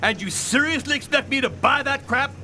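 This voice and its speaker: An actor playing an angry, sarcastic police captain who barks demands in a video game drama. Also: male voice, extremely high pitch, a little fast, pushed and bright, a little hiss or hum, heavily acted. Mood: furious. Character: irate, blustering police captain, a man, gruff and sarcastic.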